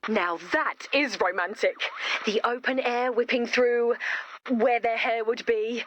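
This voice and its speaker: A woman voicing a chirpy, confident, quirky young builder in a video game, brisk and matter-of-fact. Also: a little high, fairly even intonation, lo-fi sound, a little hiss or hum, lightly acted. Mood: upbeat. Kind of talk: video game character dialogue.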